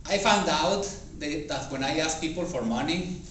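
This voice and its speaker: Echoey, distant man giving a halting speech. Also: on the high side, a bit bright. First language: not English. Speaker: club member giving a practice speech. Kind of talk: personal motivational speech to a live audience. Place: indoor meeting room before a small audience. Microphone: distant camera or room microphone. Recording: very echoey room, distant mic, faint noise.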